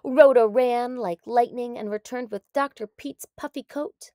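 A woman narrating a children's story in a deliberate, expressive read-aloud style. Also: on the high side, dry recording, clean studio sound.

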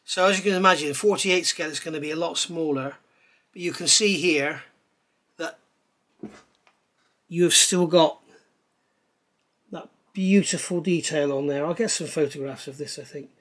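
Middle aged guy showing off model kit bits on a table, keen and chatty, lots of ums, fairly high bright voice. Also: moderate pace, long pauses. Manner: chatty, enthusiastic, lots of ums and "absolutely stunning". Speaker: scale model reviewer. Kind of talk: casual hands-on product review. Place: indoors at a table.

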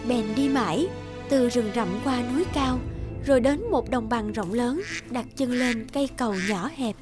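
Woman storyteller over loud music. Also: a little high, normal speed. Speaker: narrator. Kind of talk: scripted fairy-tale narration. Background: loud background music.

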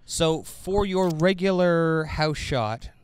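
A man's voice: on the high side, animated, slow, clean tone. A man co-hosting a casual product review, commenting and bantering in a joking way. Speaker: host of bowling ball reviews.

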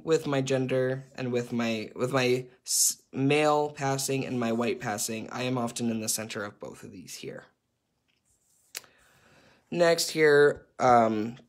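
A young man with a Canadian accent leading an online workshop, speaking earnestly and hesitantly with many filler sounds. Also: mid-range voice. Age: young adult. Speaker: workshop facilitator.

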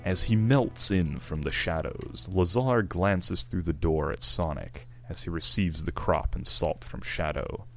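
A man's voice: sits low, a little slow, vocal fry, hardly any pauses, soft background music. A man narrating fan fiction, reading out the stage directions.

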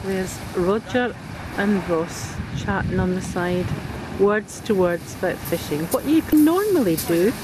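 A woman's voice: mid-range voice, lively intonation, a little slow. A woman filming her family at the seaside, chatting and laughing her way through a casual home-video commentary. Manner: chatty, amused, laughing often. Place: pebble beach by the sea, outdoors. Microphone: handheld camcorder mic. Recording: camcorder with wind and surf noise.

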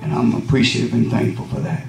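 A middle-aged man, a visiting pastor, opening his sermon and praying from the pulpit with a measured cadence and frequent amens. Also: medium pitch, fairly even intonation, vocal fry, darkish tone. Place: wood-paneled church sanctuary. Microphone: lectern microphone, camera at back of room. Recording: echoey room, distant camera, congregation responses.

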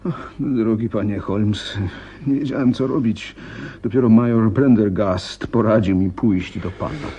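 A man's voice: lowish voice, unhurried, mellow, slightly rough. A man playing an anxious, hesitant client who is flustered and deferential, in a Polish radio drama. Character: anxious, hesitant male client seeking a detective's help.

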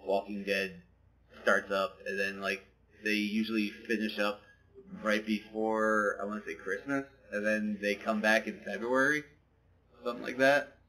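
A man's voice: fairly low pitch, fairly even intonation, moderate pace, bright, forceful tone, low-quality recording. A man co-hosting a podcast in a casual chat, rambling and hesitant, full of fillers. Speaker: podcast co-host. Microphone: podcast microphone.

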